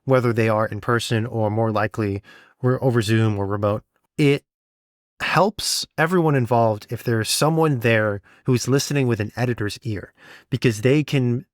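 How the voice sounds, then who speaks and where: A man with a mid-pitched voice, recorded dry with no room sound. He talks like a podcast host, casually explaining things and offering practical advice in an informal way. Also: moderate pace, no echo. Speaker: podcast host and audio drama sound designer.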